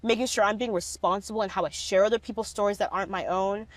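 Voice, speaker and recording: A woman's voice: mid-range voice, fairly even intonation, fast, no echo. A young woman in a casual interview, often laughing and filling her speech with "like". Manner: laughing often, many "like" fillers. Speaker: documentary subject, speech competitor.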